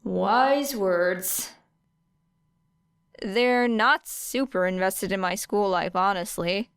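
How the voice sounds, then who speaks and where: A woman's voice: medium pitch, takes it slowly, smooth, no echo, studio quality. A woman voicing a guarded, wary teenage schoolgirl in an audiobook, speaking carefully and with understatement. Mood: guarded, casual. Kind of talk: acted audiobook dialogue.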